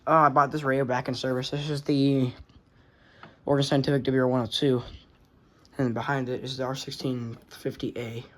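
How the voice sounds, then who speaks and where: Casual male collector, average pitch, rambling and offhand, mildly sweary, noisy home recording with clicks. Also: little pitch movement, fairly quick, a bit bright. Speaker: radio collector showing collection. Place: indoors among working radios. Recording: clear background noise with clicks.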